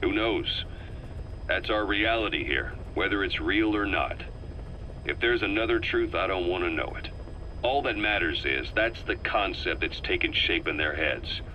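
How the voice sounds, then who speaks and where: An actor voicing a worldly, self-assured military interrogator in a video game, wry and loyal, telling things in a measured, explanatory way. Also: male voice, sits low, lively intonation, sharp tone, a little hiss or hum, poor audio. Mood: earnest, assured. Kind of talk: scripted video game voice acting.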